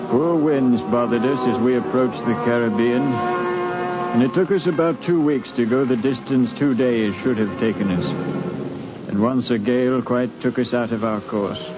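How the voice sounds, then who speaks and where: A man acting a grave, orderly ship's captain in a 1950s radio drama, formal and measured, sombre in his telling. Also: mid-range voice, over loud music, lightly acted. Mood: sombre narration. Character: grave, orderly slave-ship captain narrating his voyage. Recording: muffled, hissy 1950s radio recording.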